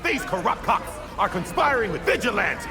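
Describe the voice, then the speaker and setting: An actor playing an arrogant, corrupt older military man in a video game, gravelly, theatrical and taunting. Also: high voice, very animated, normal speed, music in the background, crowd noise. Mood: indignant, taunting. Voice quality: gravelly, creaky. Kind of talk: cinematic video game acting.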